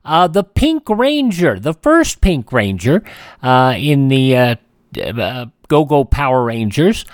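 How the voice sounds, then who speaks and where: A man hosting a radio show, reading out a list of dates and names in a halting, deliberate way. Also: mid-range voice, sing-song, dry recording, studio quality. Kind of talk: radio almanac narration.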